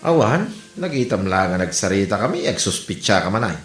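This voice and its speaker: Man acting a lively nosy Ilocano buddy. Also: lowish voice, brisk, echoey room, soft background music. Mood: defensive. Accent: Ilocano Filipino. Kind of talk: Ilocano comedy drama dialogue.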